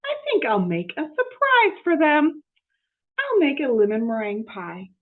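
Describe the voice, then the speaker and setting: A woman's voice: high-pitched, wildly swooping pitch, measured pace, narrow, tinny audio, pitched above the performer's own voice, exaggerated voice. A woman voicing a cheerful, naive, literal-minded housemaid from a children's storybook, exclamatory, wonderstruck and folksy. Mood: pleased, busy. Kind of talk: children's storybook read-aloud.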